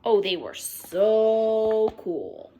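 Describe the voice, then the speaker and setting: A woman reading a children's picture book aloud expressively, acting out the exclamations in an animated manner. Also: on the high side, drawn-out words. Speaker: read-aloud storyteller. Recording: noticeable background noise.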